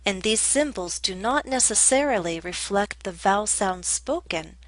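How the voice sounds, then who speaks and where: An American woman teaching English pronunciation, speaking in a measured, clear way with a General American accent. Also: mid-range voice, animated, no room sound, rough recording. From United States. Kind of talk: scripted lesson narration.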